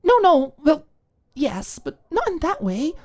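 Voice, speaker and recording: A woman's voice: sits high, big pitch swings, a little slow, warm tone, voice pushed up. A woman voicing a flustered, shy young woman in an audiobook, stammering and apologetic with embarrassment. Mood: flustered, defensive.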